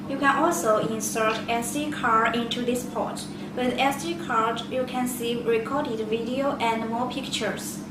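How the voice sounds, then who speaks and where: Female product presenter, slightly high pitch, simple non-native phrasing, recorded in a very echoey room. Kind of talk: product demonstration, step-by-step explanation. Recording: echoey room, distant mic, loud noise.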